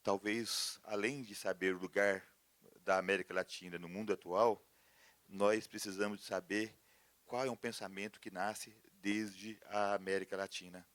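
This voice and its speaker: Deep-voiced man, rhythmic. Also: fairly even intonation, normal speed, a bit bright, no room sound. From Goiás, Brazil. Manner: rhythmic, listing, reflective. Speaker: academic speaker. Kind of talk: conference remarks.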